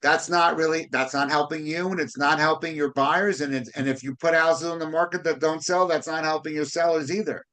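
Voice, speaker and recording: A slightly high-voiced man hosts a podcast and chats casually with his guest. He speaks haltingly, often repeating words as he feels his way through a sentence. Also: fairly even intonation, fairly bright tone. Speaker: podcast host, real estate broker. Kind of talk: conversational podcast interview.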